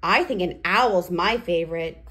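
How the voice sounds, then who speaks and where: A woman hosting a read-aloud for toddlers, speaking in a deliberate, sing-song way with simple phrasing. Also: lowish voice, fairly bright tone. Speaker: children's read-aloud host.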